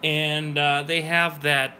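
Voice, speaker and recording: An American man hosting a casual livestream with live play commentary, excitable and given to exclamations and swearing. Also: a little high, takes it slowly, slight background noise. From grew up in Wisconsin, United States. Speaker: livestream host. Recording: loud music behind the voice. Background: loud music, slot machine game audio.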